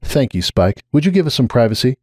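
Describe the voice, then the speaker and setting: A man's voice: mid-range voice, very animated, brisk, a little mellow, dry recording, studio quality, voice pushed up. Man voicing a concerned, firm female unicorn pony. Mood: icy.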